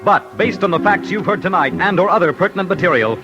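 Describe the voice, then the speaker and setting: A man's voice: high voice, expressive, quick pace, dull audio. A man with an American accent narrating a true-crime story in dramatic 1940s radio style, grave and emphatic. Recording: old radio broadcast with music bed.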